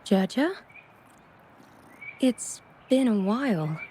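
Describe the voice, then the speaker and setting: Game role: kindly convalescent woman, soft dark timbre, polite and familiar, slight background noise. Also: on the high side, animated, extremely slow. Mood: warm, welcoming. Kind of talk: video game voice acting.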